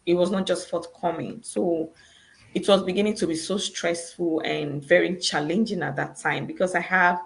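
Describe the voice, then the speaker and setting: A woman with a Nigerian accent speaks over a video call, her voice low and slightly gravelly. She tells her story conversationally, pausing on fillers and restarting sentences as she goes. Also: steady tone, low-quality recording. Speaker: guest entrepreneur. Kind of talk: casual video-call interview.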